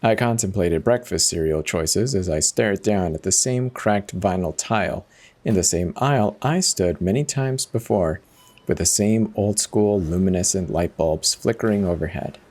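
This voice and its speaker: A man's voice: low voice, normal speed, darkish tone. A man giving a dramatic first-person reading of a horror story as its narrator.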